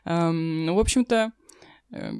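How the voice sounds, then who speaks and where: A young woman, an English teacher, telling her story in a casual chat, with many fillers and quick laughs. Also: lowish voice, darkish tone, clean tone, dry recording, studio quality. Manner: fast, chatty, lots of fillers and laughs.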